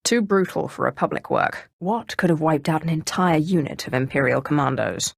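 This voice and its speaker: A woman voicing an imperious, cunning young Sith sorceress in a video game, with a haughty, deliberate, theatrical delivery. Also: low-pitched, creaky, no room sound, clean studio sound, exaggerated voice.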